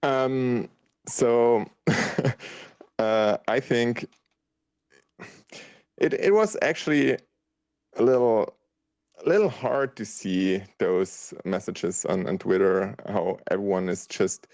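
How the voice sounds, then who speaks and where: A man's voice: lowish voice, slow, pauses often, a little mellow, dry recording. A man with a German accent, German his first language, talking as a podcast guest in a hesitant way, with many restarts. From Austria. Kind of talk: casual chat.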